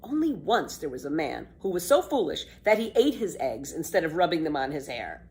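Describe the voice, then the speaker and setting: A woman's voice: sits low, sing-song, fairly quick, darkish tone. A woman voicing a bold, boastful little girl in a storybook, with theatrical, emphatic, carefree delivery. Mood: excited. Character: bold, boastful, exuberant little girl telling tall tales. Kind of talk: children's storybook read-aloud.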